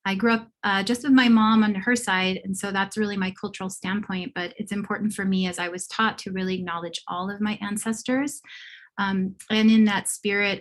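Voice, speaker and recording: A woman introduces herself to a panel in a measured, respectful way. She speaks over a video call at an ordinary, even pitch. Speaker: researcher and panelist. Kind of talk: formal spoken self-introduction. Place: her home base.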